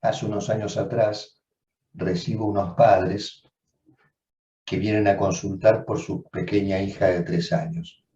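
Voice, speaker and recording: A man, a psychoanalyst, giving a measured, reflective seminar lecture, often rephrasing as he goes. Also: on the low side, little pitch movement, mellow. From Argentina. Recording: dull, roomy sound from far off.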